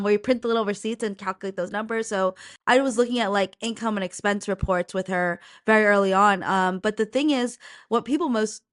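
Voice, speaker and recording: A middle-aged woman talks candidly as a guest, chatty and easygoing, with plenty of ums and likes. Her voice sits at an ordinary pitch and is recorded dry and close, with almost no room sound. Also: fairly bright tone, no echo. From United States. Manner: candid and chatty, with frequent fillers. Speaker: guest, real estate broker. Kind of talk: casual interview talk.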